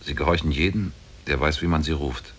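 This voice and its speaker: A very low, husky male sorcerer demon from an old radio play. He speaks solemnly, with menace and devotion mixed, as the lovestruck captor of a woman. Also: fairly quick. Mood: boastful, confiding. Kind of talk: 1950s German radio drama acting.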